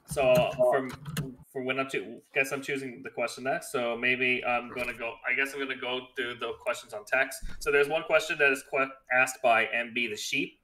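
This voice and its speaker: A man's voice: mid-range voice, steady tone, moderate pace, bright, forceful tone, a little hiss or hum. A man hosting an online fan panel, hesitant and restarting his sentences. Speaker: panel host. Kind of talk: casual online panel chat.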